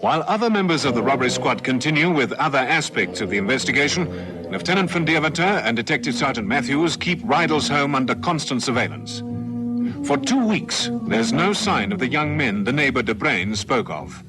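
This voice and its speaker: A man's voice: mid-range voice, sing-song, brisk, lightly acted. A South African man narrating a police radio serial in a scripted, dramatic style. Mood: measured suspense. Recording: vintage radio recording, loud music.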